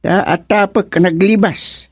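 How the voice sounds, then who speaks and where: A man's voice: high-pitched, fairly even intonation, unhurried, husky, no room sound, studio quality, heavily acted. A man acting a concerned, inquisitive townsman in a radio comedy, drawing out questions in a northern Filipino accent.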